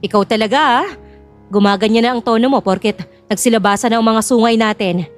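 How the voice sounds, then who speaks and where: Babaeng gumaganap na tusong sakim na tiyahin. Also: female voice, on the high side, brisk, soft background music, natural voice. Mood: conspiratorial. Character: scheming greedy aunt, casual and sly.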